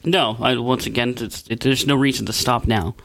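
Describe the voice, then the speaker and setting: Gravelly laid-back man. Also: medium pitch, steady tone, normal speed, a bit bright, a little hiss or hum. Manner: laid-back, dry joking. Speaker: podcast co-host. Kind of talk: casual chat.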